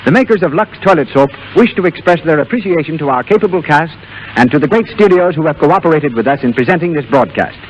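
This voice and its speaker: A male announcer reads formally in 1930s radio style. He talks fast in a fairly high voice, on a dull old recording with faint crowd sound. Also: expressive. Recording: muffled, noisy 1930s radio with audience sound.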